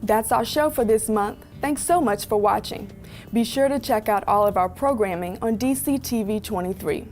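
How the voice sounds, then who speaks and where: A woman's voice: medium pitch, moderate pace, a little mellow, faint music behind. A woman hosting a local government program, delivering a friendly scripted sign-off. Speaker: program host.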